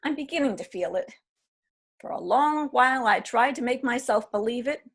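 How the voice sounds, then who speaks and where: Husky, somewhat high-voiced woman reading an aging romantic lady, wistful, for a stage reading. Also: lively intonation, normal speed. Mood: fervent. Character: aging woman pining for a lost love. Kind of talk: readers theatre reading.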